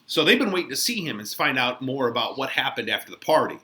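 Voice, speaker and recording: A man giving informal, colloquial commentary as a literature teacher, in an expressive conversational manner. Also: medium pitch, fast.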